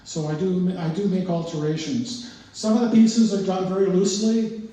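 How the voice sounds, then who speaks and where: An older man, the exhibiting artist, giving an informal, halting talk about his work with many pauses and restarts. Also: high-pitched, steady tone, dark tone. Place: art gallery, indoors. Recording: very echoey room, voice far from mic, faint noise.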